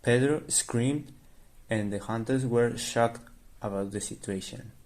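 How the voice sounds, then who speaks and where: A man narrates a radio drama from a script. His voice sits at a middling pitch and he moves through the sentences slowly. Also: darkish tone.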